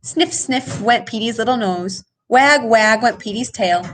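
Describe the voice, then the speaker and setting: A woman reading a children's picture book aloud in an expressive way, with dramatic emphasis. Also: a little high, measured pace, slight background noise. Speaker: storybook reader. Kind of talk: animated children's read-aloud.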